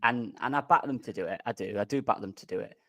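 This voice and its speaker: A man's voice: mid-range voice, quick pace, sharp tone, no echo. A man joining a casual football chat as a guest, earnest and emphatic, repeating his points. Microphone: remote stream call.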